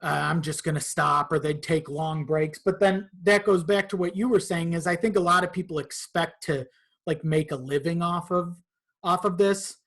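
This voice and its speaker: A man's voice: on the high side, steady tone, moderate pace, a bit bright. A man talking casually as a podcast guest, informal and conversational, with some swearing. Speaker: podcast guest, content creator.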